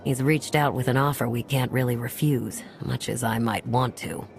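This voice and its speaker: A dry, frank smuggler woman with a low voice. She is practical and informal in a game cutscene, with clear ambient noise around her. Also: fairly even intonation, normal speed. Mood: frank, uneasy. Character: pragmatic, wry female smuggler running supply lines. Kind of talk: video game cutscene acting.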